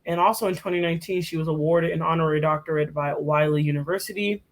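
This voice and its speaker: A woman's voice: deep voice, steady tone, quick pace. A young woman co-hosting a podcast, giving a casual, chatty rundown full of fillers and restarts. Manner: chatty, filler-heavy, self-correcting. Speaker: podcast co-host. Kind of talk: casual presentation.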